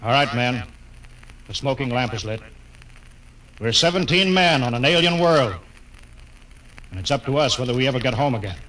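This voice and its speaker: An actor playing a commanding male rocket ship captain in a 1950s radio drama, giving crisp, authoritative orders. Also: fairly high pitch, fairly bright tone, rough recording. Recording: old radio broadcast with music underneath.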